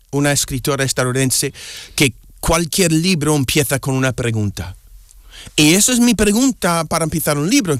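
A man from the United States, a native English speaker, chatting in Spanish with an American accent as an interview guest, halting and restarting words. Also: dry recording, studio quality. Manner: halting, restarts words, animated pitch swings. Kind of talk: casual interview chat.

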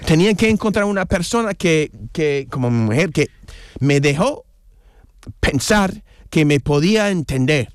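A man whose first language is English, speaking as an interview guest in a casual conversation, often pausing, restarting and searching for words. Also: fairly high pitch, animated, dry recording, studio quality. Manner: halting, searching for Spanish words with many restarts.

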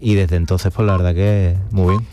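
A man's voice: low-pitched, steady tone, normal speed, lo-fi sound, over music. A man in his forties with an Andalusian accent from Seville, an actor chatting casually as an interview guest. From Seville, Spain.

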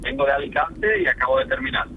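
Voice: medium pitch, fairly even intonation, fast talker. A man who drives a truck, answering questions casually as a phone-in guest over a narrow phone line. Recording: narrow tinny phone line, faint noise.

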